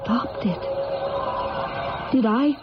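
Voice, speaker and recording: A woman's voice: fairly high pitch, extremely slow, heavy background noise. An actress playing an anxious, protective wife and mother in a 1970s radio drama, earnest and hesitant in delivery. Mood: shocked. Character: anxious, protective married mother haunted by foresight. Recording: muffled old radio, loud music behind.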